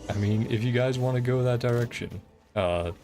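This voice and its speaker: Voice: mid-range voice, moderate pace, music in the background. A game streamer chatting casually over gameplay in an easygoing, encouraging way, often laughing while talking. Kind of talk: casual livestream gameplay commentary and chat.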